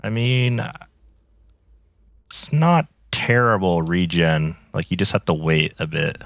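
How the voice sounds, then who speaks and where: A man casually talking through a game as a video creator, relaxed and rambling with many fillers, his voice a bit worn from a recent flu. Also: sits low, takes it slowly, dry recording. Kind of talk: casual gameplay commentary. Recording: slightly dull, lo-fi audio.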